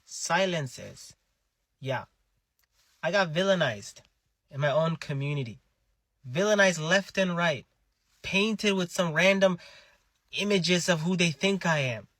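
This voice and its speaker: A man's voice: a little high, animated, a little slow, pauses often, a bit bright, dry recording. A man speaking earnestly to camera, appealing to his own community. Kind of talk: personal monologue to camera.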